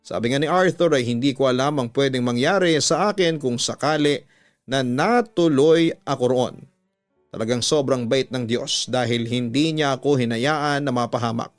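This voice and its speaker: A man with a Filipino accent narrating a scripted story as a radio host, measured and deliberate, drawing out the ends of phrases. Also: mid-range voice, dry recording. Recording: background music under a dry studio voice. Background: music.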